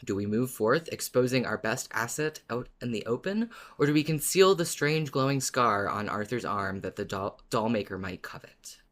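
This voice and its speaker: A podcast co-host with a medium-pitched voice. The delivery is informal and expressive, that of a fan discussing a favourite story. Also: moderate pace, hardly any pauses. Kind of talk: casual fan discussion, partly reading a written recap.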